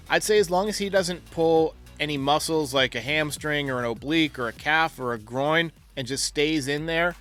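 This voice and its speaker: A man's voice: on the high side, a bit bright, no room sound. A man hosting a sports talk show, giving opinionated commentary and reasoning aloud through his points. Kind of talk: sports commentary, answering caller voicemails.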